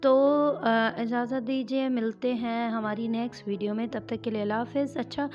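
A woman reviews a product at home in a casual, chatty vlog, pausing often on fillers. Her voice sits fairly high. Clear music plays under her speech. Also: fairly even intonation, dull audio. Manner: chatty, with many hesitations. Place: home, indoors.

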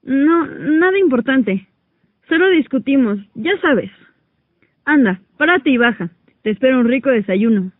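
A woman playing a gentle, affectionate mother of a teenage daughter in a radio drama. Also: high voice, animated, unhurried, dry recording. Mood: tender. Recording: dry, thin phone-like amateur recording.

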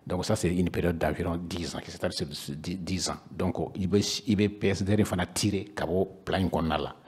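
A man in his fifties with a very low, husky voice and a Malian accent. He explains things to a panel, pausing and repeating words as he searches for the next phrase. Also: steady tone, a little mellow. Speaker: regional council official, panel guest. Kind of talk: panel discussion, explaining in Bambara mixed with French. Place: indoor panel room. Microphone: handheld microphone with foam cover.

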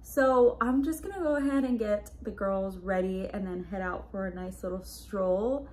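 A woman's voice: mid-range voice, moderate pace, darkish tone, slight background noise. A young woman in her twenties chatting casually to the camera at home, upbeat and full of "like" and "just". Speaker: lifestyle vlogger. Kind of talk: casual vlog chat to camera. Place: home living room and bedroom.

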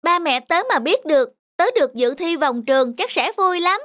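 A woman voicing an eager schoolboy athlete in an animated moral tale, with a boyish manner. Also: sits high, normal speed, no room sound, clean studio sound. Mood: excited. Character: eager schoolboy athlete attached to his parents.

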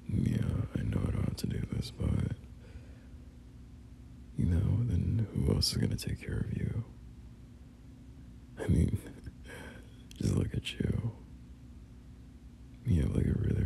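Very deep, gravelly, murmuring boyfriend acted by a man. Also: dark tone, slight background noise, exaggerated voice. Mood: reassuring, caring. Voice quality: breathy, half-whispered, gravelly low murmur. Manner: soothing, hesitant, murmured reassurance. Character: gentle, doting boyfriend comforting an unwell partner. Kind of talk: boyfriend ASMR roleplay.

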